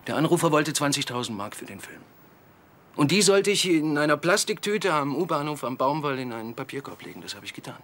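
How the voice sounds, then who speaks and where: An actor playing an evasive film producer suspected in a crime drama, guarded and defensive. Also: male voice, fairly high pitch, sing-song, brisk, fairly bright tone.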